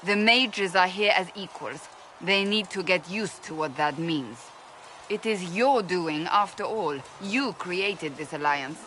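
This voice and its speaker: Bright-voiced, stern woman warrior. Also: lowish voice, slow pace, slight background noise. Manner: firm, clipped, formal. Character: stern, blunt woman warrior, a leader of alliance. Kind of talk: dramatic video game dialogue.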